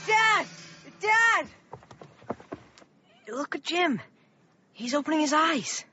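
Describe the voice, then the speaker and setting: Very high-pitched anxious boy. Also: sing-song, slow pace, loud music behind the voice, full character voice. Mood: anxious, urgent. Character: frightened, urgent young boy. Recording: muffled audio with faint noise.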